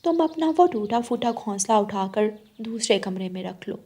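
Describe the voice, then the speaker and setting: A woman's voice: medium pitch, expressive, normal speed, warm tone. A woman voicing a haughty, lazy young female bird in a cartoon story, snobbish and disdainful. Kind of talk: Hindi cartoon story acting.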